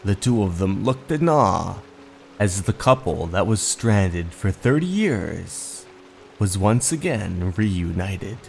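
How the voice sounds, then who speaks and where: A man narrating a scripted horror story in a theatrical, measured way. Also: low-pitched, very animated, vocal fry, darkish tone. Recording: voice over background music.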